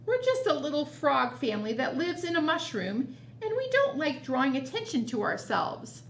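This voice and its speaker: A woman voicing a patient, weary frog father in a children's storybook, coaxing in a measured way. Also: high-pitched, very animated, pitched above the performer's own voice. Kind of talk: picture-book read-aloud for children. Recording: roomy echo, noticeable background noise.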